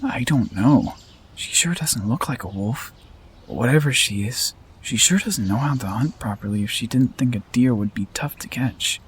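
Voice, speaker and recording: A composed young wolf hunter voiced by a man, mid-pitched, dry and formal. It is fantasy audio drama, with birdsong and noise around him. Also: animated, moderate pace, natural voice. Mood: dry, puzzled.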